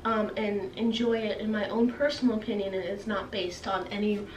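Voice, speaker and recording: A woman's voice: medium pitch, flat delivery, moderate pace. A young woman chatting casually to camera as a book vlogger, playfully dramatic and self-deprecating. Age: young adult. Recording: roomy, distant mic with faint hiss.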